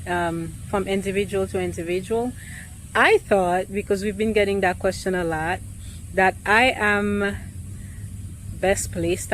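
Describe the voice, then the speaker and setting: A woman realtor with an accent, talking casually and thoughtfully to the camera, pausing often with ums and restarts. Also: mid-range voice, slight background noise, low-quality recording.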